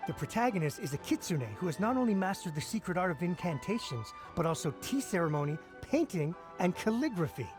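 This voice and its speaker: A frank, casual male fan with a somewhat high pitch. He explains himself candidly in anime game dialogue, with clear music in the background. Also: big pitch swings, brisk, few pauses. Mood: candid, enthusiastic. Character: candid, casual male reader who loves cheap thrills.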